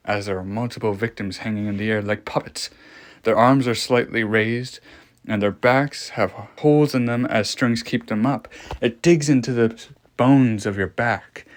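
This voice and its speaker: A man's voice: medium pitch, natural voice. Man playing morbidly fascinated anomaly researcher. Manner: measured lecturing with dramatic pauses and rhetorical questions. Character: male scientist, morbidly fascinated by monstrous anomaly. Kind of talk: fictional horror audio log, explanatory monologue.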